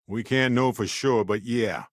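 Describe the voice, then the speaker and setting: A man voicing an upbeat, wisecracking, warm-hearted space explorer companion in a video game, chatty and wry with theatrical emphasis. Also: mid-range voice, a little slow, no echo, studio quality, close to an everyday voice. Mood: playful, wry.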